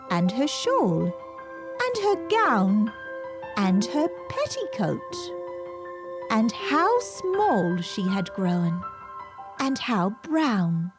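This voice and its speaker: Female storybook narrator: unhurried, expressive, very varied intonation, gentle music underneath. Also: fairly high pitch. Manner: measured, expressive, dramatic emphasis. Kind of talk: scripted children's story narration. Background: gentle background music.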